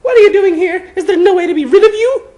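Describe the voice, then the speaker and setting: A man voicing an indignant, sputtering young witch schoolgirl in a shrill falsetto, from a storybook-style dramatic reading. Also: fast talker, warm tone. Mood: furious. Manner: furious, sputtering.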